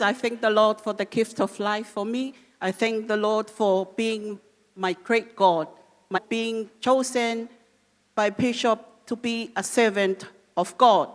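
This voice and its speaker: A woman gives an earnest church testimony. Her English is simple, since her is Māori. Her voice rings with the long reverb of a church hall. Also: on the high side. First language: Cook Islands Māori. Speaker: church member giving testimony.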